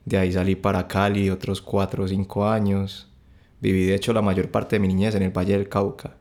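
A young man with a Bogotá Colombian accent, telling his story as a podcast guest in a halting, relaxed way with many restarts. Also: deep voice.

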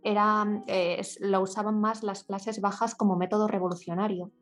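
A woman with an accent from Spain speaks at an average pitch over faint music. She is interviewing conversationally, feeling her way into each point with tentative, halting phrases. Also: little pitch movement. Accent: Spanish from Spain.

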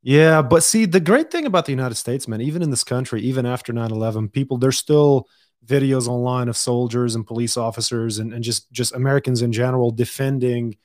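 A man's voice: mid-range voice, fairly quick, darkish tone, dry recording, studio quality. A man who grew up in Dubai, hosting a podcast in casual conversation, often restarting his sentences and laughing easily. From Lebanon, raised in Dubai. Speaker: podcast host.

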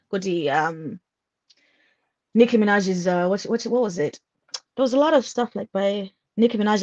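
A woman's voice: medium pitch, darkish tone, dry recording. A young woman from Malawi, a musician guest chatting casually in an interview, with frequent laughs and hesitant fillers. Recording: dull lo-fi sound.

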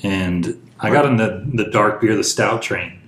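A man's voice: lowish voice, measured pace, fairly bright tone. A man hosting a casual podcast chat in an easygoing way. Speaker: host. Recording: echoey room, faint noise.

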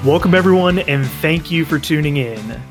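A man's voice: fairly high pitch, normal speed, music in the background. A young man hosting a podcast, introducing his guest and chatting in an upbeat, casual way with plenty of fillers. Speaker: podcast host.

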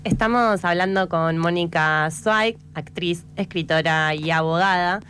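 A woman hosts a radio interview with a fairly low voice. She asks her questions in a relaxed, conversational way, and a faint hum sits under her voice. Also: a little slow.

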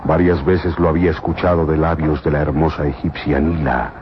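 A man's voice: extremely low pitch, level tone, fairly quick, slight background noise. A man narrating an old radio drama in a solemn, scripted storytelling style. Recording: muffled old radio recording with music.

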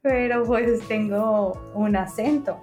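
A woman's voice: on the high side, slow, a little mellow, soft background music. Teasing northern Mexican woman, "sh" for "ch". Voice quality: says "sh" in place of "ch". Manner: playful and teasing, prompting her co-host. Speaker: podcast co-host. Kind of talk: casual podcast chat.

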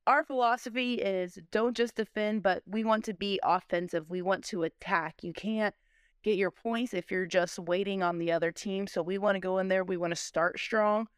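Woman coach with very clear, smooth voice. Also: medium pitch, steady tone, moderate pace, no echo, studio quality. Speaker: sports coach being interviewed. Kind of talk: interview answers, motivational coach talk.

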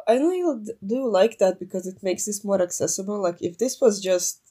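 A woman's voice: mid-range voice, lively intonation, brisk, mellow, slightly rough. A young adult woman co-hosting a podcast, chatting casually and off the cuff in a rambling, sweary, informal way. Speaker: podcast co-host. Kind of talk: casual unscripted chat.

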